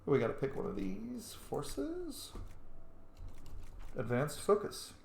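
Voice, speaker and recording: A man's voice: a little high, huge pitch swings, slow, gravelly, reverberant, slight background noise. A man giving casual commentary while playing a game, thinking aloud as he goes. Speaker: gamer narrating a roleplay playthrough.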